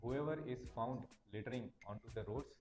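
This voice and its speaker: A man's voice: mid-range voice, fairly quick, darkish tone. A man with an Indian accent giving a formal explanation as a municipal official. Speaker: municipal commissioner. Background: music.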